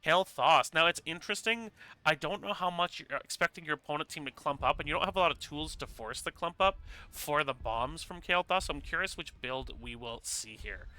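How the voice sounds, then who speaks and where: A man casting a live esports match with play-by-play commentary, sounding congested from a stuffy nose and rising to excited bursts during fights. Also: on the high side, a little fast, clear, resonant tone, rough recording. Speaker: esports caster.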